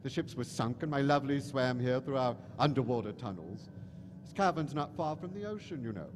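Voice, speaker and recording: An actor playing an eccentric alien mad professor, theatrical, gushing and disdainful, callous toward humans, in a live radio play. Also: male voice, mid-range voice, animated, fairly quick, close to an everyday voice. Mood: wistful, scheming. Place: large hall stage at a convention. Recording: echoey hall stage recording, faint noise.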